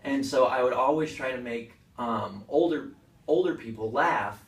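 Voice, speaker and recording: Man talking in an echoey room, distant mic. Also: mid-range voice. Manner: halting, explanatory, simple wording for a child. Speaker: interviewee, stage performer. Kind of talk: casual interview answers.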